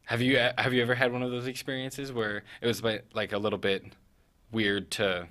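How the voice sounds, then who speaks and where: A man's voice: medium pitch, flat delivery, a little fast, pushed and bright. A young man hosting a youth interview show, joking and hesitant, with lots of fillers. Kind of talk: casual interview.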